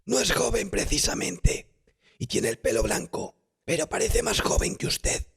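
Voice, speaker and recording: Man playing a measured innkeeper, voice pushed high. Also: big pitch swings, creaky, fairly bright tone, slightly rough, heavily acted. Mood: considering. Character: male village innkeeper, plain-spoken pipe-smoking bearer of news.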